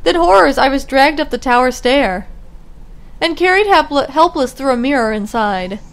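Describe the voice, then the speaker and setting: A woman reading the lines of a gentle, wistful older sister girl aloud from a game, in a lilting voice. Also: high voice, lively intonation, moderate pace. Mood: sorrowful. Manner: reads rhyming game dialogue aloud, lilting.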